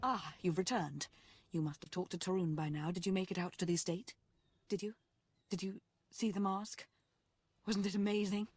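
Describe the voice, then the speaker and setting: A woman playing a fervent, obsessed cult devotee in a video game, speaking in a reverent, measured, breathy way. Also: lowish voice, steady tone, darkish tone. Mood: rapturous, eager. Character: fervent, obsessed female Sith cult devotee, soft-spoken zealot. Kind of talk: scripted video game voice acting.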